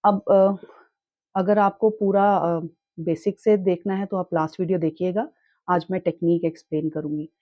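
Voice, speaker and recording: A young woman teaching in a calm, reassuring way, with a sore throat that makes her clear it often. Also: lowish voice, moderate pace, no room sound, muffled recording. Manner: calm, reassuring, mixes English words into her talk. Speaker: chakra healing teacher. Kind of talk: casual spoken tutorial.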